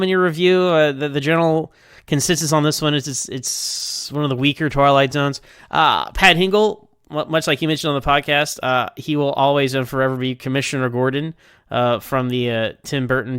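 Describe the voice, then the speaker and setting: A man's voice: fairly high pitch, moderate pace, fairly bright tone, smooth, no room sound, studio quality. Clean-voiced man casually reviewing television. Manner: conversational, hedging, thinking aloud. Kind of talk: casual rambling TV episode review.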